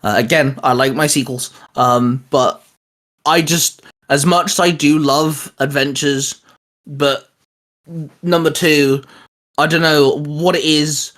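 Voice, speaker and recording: A man's voice: fairly high pitch, steady tone, slow, fairly bright tone. A man co-hosting a casual fan discussion, talking in a rambling, informal, jokey way. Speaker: co-host of a cartoon fan channel. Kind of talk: casual chat, fan commentary.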